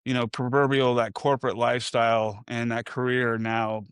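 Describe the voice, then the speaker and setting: A man's voice: medium pitch, level tone, moderate pace, clear, resonant tone, no echo. A man hosting a podcast, musing reflectively at length before asking his questions. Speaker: podcast host. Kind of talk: conversational interview.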